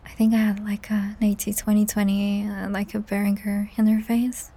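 A young woman hosting a whispered, ASMR-style chat podcast, rambling softly with giggles, her voice strained and cracked. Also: medium pitch, little pitch movement, moderate pace. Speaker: podcast host and audio creator. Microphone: high-end studio microphone.

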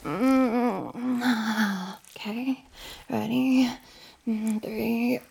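Woman voicing a husky, gravelly teasing roommate. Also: on the high side, a little mellow, exaggerated voice. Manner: slow, husky, often whispering. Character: teasing, dominant young adult woman roommate. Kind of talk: intimate audio roleplay.

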